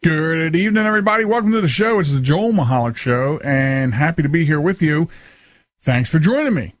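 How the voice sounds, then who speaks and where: A man's voice: a little high, expressive, fairly quick. A man hosting a radio talk show in casual, informal chat, often repeating and rephrasing himself.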